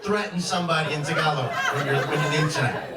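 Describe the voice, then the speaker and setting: A man's voice: fairly high pitch, fast, over a noisy crowd, heavy background noise. A young man from Chicago doing a stand-up comedy set on a club stage, talking in a loose, rambling way full of restarts. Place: comedy club stage before a laughing audience. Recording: echoey club room from far off.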